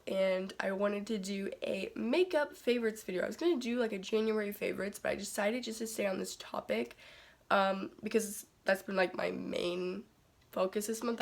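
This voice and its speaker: A teenage girl talks straight to her phone camera about her favorite makeup, sounding raspy and congested from a cold. Her chat is loose and rambling and full of "like" and "um". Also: medium pitch, normal speed. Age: teens. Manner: chatty, rambling, heavy on "like" and "um". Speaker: beauty vlogger.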